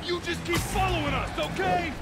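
Cocky, very high-pitched shouting thug. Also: male voice, moderate pace. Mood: jeering. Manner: jeering, shouted. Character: cocky, taunting male getaway thug. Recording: loud music, vehicle noise.

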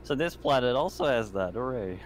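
A man's voice: mid-range voice, lively intonation, brisk. A man chatting casually as a player in an online roleplaying game. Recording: noisy voice chat with background hum.

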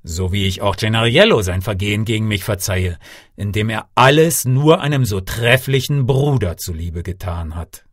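A man's voice: on the low side, moderate pace, no echo, clean studio sound, voice pushed down, natural voice. A man voicing a sorcerer father and grandfather in a storybook, solemn, declamatory and authoritative. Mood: forgiving. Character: stern sorcerer father, grandfather, punishing then forgiving.

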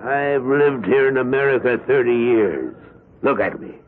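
A man's voice: mid-range voice, a little hiss or hum, lightly acted. An older man playing an elderly, cold and boastful Nazi war criminal in hiding, in 1970s radio drama style. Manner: halting and courteous, turning menacing and grandiose. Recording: muffled vintage radio broadcast.

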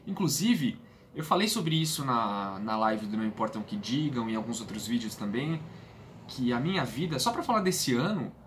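A man's voice: medium pitch, lively intonation, moderate pace, a bit bright. Earnest young Brazilian man, noisy room. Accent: Brazilian Portuguese. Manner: earnest, emphatic repetition of phrases. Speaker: football fan channel host. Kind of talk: casual vlog monologue. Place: small bedroom, indoors. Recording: clear background room noise.

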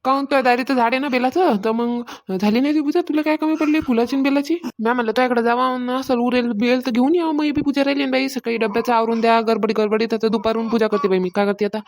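A woman's voice: fairly high pitch, fairly even intonation, fast talker, a little mellow, close to an everyday voice. A woman playing an aggrieved village housewife in a cartoon comedy, complaining colloquially with a rural Maharashtrian accent. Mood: chatty, complaining. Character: aggrieved village housewife fretting over her worship. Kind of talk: amateur cartoon comedy dialogue.

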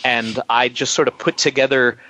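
A man chatting casually as a musician guest in an interview, telling stories in an animated, self-deprecating way and acting out remarks. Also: mid-range voice, moderate pace, low-quality recording.

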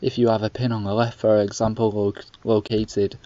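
A man's voice: lowish voice, flat delivery, moderate pace, mellow. A young man reading scripted narration for a student documentary in a stiff, instructional way. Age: young adult. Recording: dry dull lo-fi voice-over.

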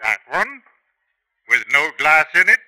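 An actor playing a skeptical village constable with pointed, deliberate questioning, in 1950s radio drama style. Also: male voice, fairly high pitch, very animated, bright, forceful tone, exaggerated voice. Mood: suspicious, pressing. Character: probing, skeptical English village constable, male.

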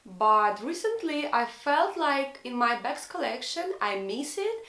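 A woman's voice: high-pitched, very animated, measured pace, fairly bright tone, reverberant. A young woman from Russia with a Russian accent, chatting earnestly in a fashion haul vlog from her bedroom, sometimes searching for English words. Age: young adult. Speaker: fashion vlogger. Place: bedroom, indoors.

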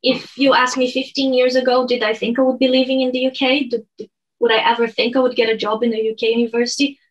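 A woman in her thirties from Brazil with a Brazilian Portuguese accent, a native Portuguese speaker, talking over a video call from her bedroom in a measured, earnest way. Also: on the high side, flat delivery, highs cut off. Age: 30s. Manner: measured, earnest, some restarts. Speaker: guest, online lecturer. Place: bedroom at home.

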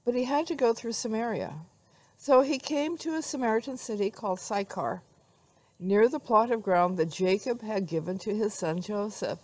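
An older woman giving a devotional talk and scripture reading in a measured, reflective way. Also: mid-range voice, no echo, rough recording. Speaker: devotional speaker. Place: outdoors among trees.

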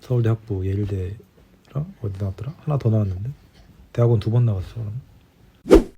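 A man's voice: on the low side, fairly even intonation, fairly quick, dark tone, slight background noise. A middle-aged man chatting casually in a rambling, easygoing way, like a podcast host talking before bed. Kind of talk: casual bedtime chat between spouses. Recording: dull lo-fi home recording.

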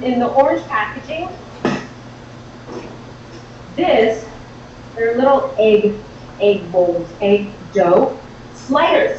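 A woman with a high voice talks viewers through cooking in an informal, excitable, chatty way. She sounds set back from the microphone in a kitchen. The sound is slightly dull, with strong room echo. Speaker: host of a live cooking show. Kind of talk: casual live cooking demonstration. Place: home-style kitchen, indoors. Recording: very echoey, distant, dull, faint noise.

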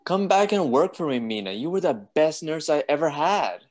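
A man playing a courteous, troubled gentleman doctor with polite, formal speech in a radio play. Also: a little high, big pitch swings, normal speed.

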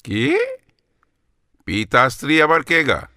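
A henpecked Bengali husband in his sixties for a comic radio play. He is bumbling and plaintive, drawing words out slowly and repeating them, in a bright, forceful voice that lurches up and down. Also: fairly high pitch, big pitch swings, no room sound, studio quality, heavily acted. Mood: bewildered, protesting. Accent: Bengali, West Bengal. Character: bumbling, clingy sixty-year-old henpecked Bengali husband. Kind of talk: comic audio drama acting.

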